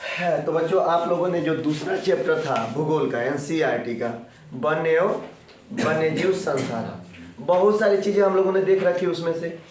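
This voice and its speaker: A man teaches a school class from far off in a very echoey room. He sounds like he has a sore throat, and he drills each point with repetition and quick questions to the pupils. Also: on the high side, background noise. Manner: didactic, repeats points and quizzes the pupils. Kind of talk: classroom geography lesson for school children. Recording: very echoey room, distant mic.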